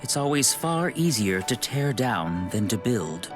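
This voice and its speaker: Musing man with lilting pitch. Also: very animated. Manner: measured, musing. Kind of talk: anime game voice acting. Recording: loud music behind.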